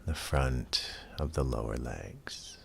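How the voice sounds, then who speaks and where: A man leading a guided relaxation as a yoga teacher, speaking in a calm, measured, soothing way with long pauses between phrases. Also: a bass voice, creaky, slight background noise.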